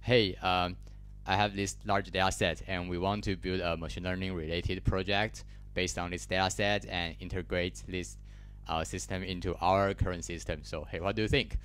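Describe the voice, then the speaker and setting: Taiwanese student in his twenties, low and smooth voice, halting conference talk with lots of fillers, handheld mic in a lecture hall. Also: little pitch movement, a bit bright. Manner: hesitant, many fillers and restarts. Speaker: conference speaker. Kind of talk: technical talk.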